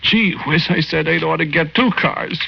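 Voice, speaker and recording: A man's voice: on the high side, moderate pace, gravelly, very noisy. A man acting in a 1940s radio drama as a good-hearted, sentimental ghost, telling his story in folksy, ungrammatical slang. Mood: folksy, eager. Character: good-hearted lovelorn working-class man's ghost, sentimental and naive. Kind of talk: 1940s radio acting, first-person narration. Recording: lo-fi 1940s radio recording, loud music.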